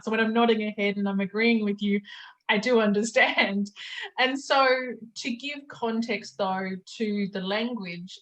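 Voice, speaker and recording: A woman hosts the conversation in a friendly, easygoing way and laughs readily. Her voice sits at an ordinary middle pitch for a woman. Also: rough recording. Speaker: host. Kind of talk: conversational interviewing.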